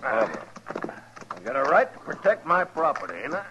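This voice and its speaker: An actor playing a hard, vengeful cattle rancher, blunt and demanding, with a rural American West accent in a 1950s radio western. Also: male voice, on the high side, big pitch swings, normal speed, background noise. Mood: defiant. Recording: dull old radio recording.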